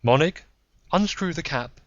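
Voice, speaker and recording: A man voicing a brisk, assured woman scientist in an audiobook story, crisp, certain and commanding. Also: a little high, expressive, fairly bright tone, no echo, dull audio, voice pushed up.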